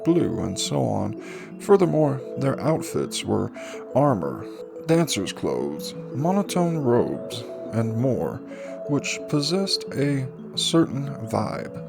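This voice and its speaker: A man reading a fantasy novel aloud as an amateur audiobook narrator, with deliberate phrasing, drawn-out words and pauses. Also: medium pitch, gravelly.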